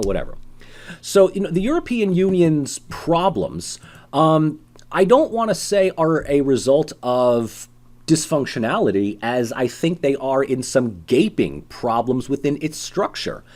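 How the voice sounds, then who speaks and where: Lively, chatty male politics lecturer. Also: on the high side, moderate pace. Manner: conversational, many "you know" and "um" fillers, rhetorical questions; animated, acts out voices of ordinary people. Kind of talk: recorded university lecture.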